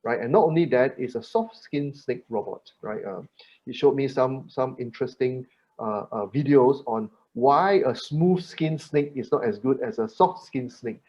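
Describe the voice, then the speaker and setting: Man, webinar moderator, halting, lots of fillers, tag questions, dull lo-fi call sound. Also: medium pitch, a little mellow. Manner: halting, many fillers and repeated words, tag questions "right?". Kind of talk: hosting a panel webinar.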